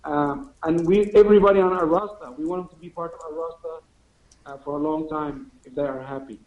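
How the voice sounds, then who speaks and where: A man's voice: a little high, steady tone, a little fast. Echoey phone-line man. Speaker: fight promotion executive. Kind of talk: media conference call.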